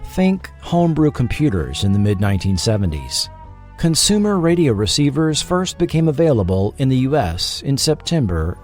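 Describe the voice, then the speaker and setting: An American man hosting a podcast with scripted documentary narration, deliberate and dramatic at the ends of phrases. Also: mid-range voice. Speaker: podcast host.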